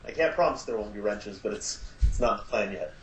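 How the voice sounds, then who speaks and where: A man guest on a podcast interview, talking casually about his show and pausing often with fillers. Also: on the low side, animated. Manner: hesitant, many filler sounds. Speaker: podcast guest from an audio drama. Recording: echoey, dull lo-fi line.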